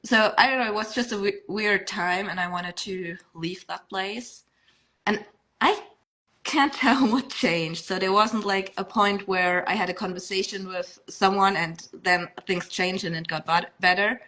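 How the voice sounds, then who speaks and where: Young woman guest, bright tone, chatty with restarts and laughs. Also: medium pitch, unhurried. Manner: chatty, laughs often, many fillers and restarts. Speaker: podcast guest. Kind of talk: casual podcast chat.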